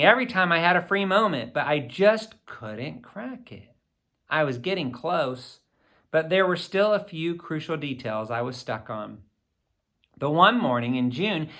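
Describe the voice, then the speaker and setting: A man reading a children's novel aloud in the first person, with expressive, theatrical phrasing aimed at young listeners. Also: on the high side, unhurried. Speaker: audiobook reader.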